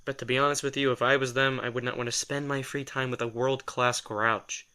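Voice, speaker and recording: A man acts out a sardonic, exasperated guy in an audio drama. His voice is bright and forward at an ordinary male pitch. He rambles wryly and defensively, as if in casual conversation. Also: level tone, brisk, smooth, close to an everyday voice. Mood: wry, rambling. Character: sardonic, exasperated male telepath, former personal assistant to a writer.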